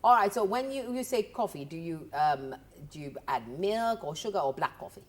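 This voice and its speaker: Lively woman hosting a radio talk show. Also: mid-range voice, normal speed. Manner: lively, switches between Creole and English. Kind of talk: casual radio interview.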